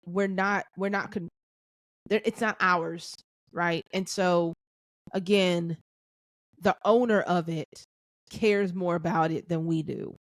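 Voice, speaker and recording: A woman's voice: fairly low pitch, fairly even intonation, takes it slowly, no room sound. Brief: woman podcast host, reflective and affirming, dry close-mic sound. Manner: thinks aloud with restarts, affirming "that's right", "amen". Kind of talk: reflective faith-centered casual chat.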